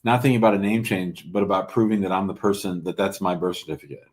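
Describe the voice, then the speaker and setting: A man's voice: low-pitched, steady tone, fast talker. A man hosting a call-in show, giving informal legal advice in a blunt, probing, conversational way. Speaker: host taking callers' immigration questions.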